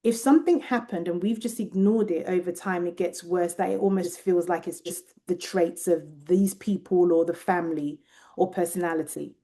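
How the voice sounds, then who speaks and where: A British Nigerian woman with a British accent, a researcher talking thoughtfully in an interview and often pausing to find her words. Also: fairly low pitch, fairly even intonation, mellow. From Nigeria and Britain. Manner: thoughtful, searching for words, many restarts. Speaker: guest researcher. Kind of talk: reflective interview conversation.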